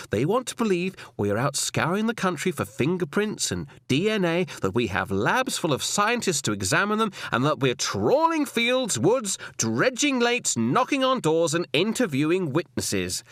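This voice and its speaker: Man voicing a cold, stern senior policewoman, swooping pitch. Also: sharp tone, dry recording, clean studio sound, exaggerated voice. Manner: clipped, authoritative, lecturing. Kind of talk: audiobook character dialogue.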